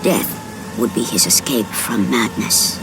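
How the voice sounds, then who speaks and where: An actress playing a solemn narrator of an epic legend, giving scripted, portentous narration for a fantasy video game. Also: female voice, extremely low pitch, slow pace, very noisy, full character voice. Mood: grave. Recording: music behind the narration.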